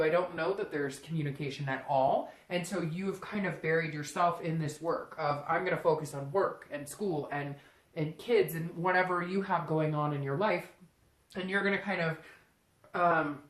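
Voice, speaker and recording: Low-pitched woman, roomy echo. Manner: conversational, often voices imagined quotes in first person. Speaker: tarot reader hosting a reading. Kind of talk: casual, unscripted tarot reading addressed to the listener. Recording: roomy, echoing room sound.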